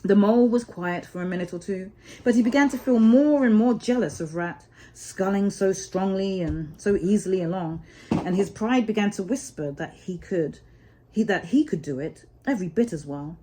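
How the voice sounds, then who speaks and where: A woman's voice: medium pitch, normal speed, warm tone. A woman storyteller reading a children's storybook aloud expressively, dwelling on key words for dramatic emphasis.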